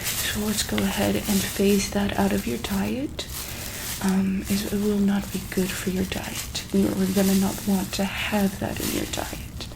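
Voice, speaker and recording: A soft-spoken woman in an ASMR medical roleplay, playing an examiner who asks gentle questions, repeats them, and sometimes drops into a breathy whisper. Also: mid-range voice, level tone, unhurried, heavy background noise.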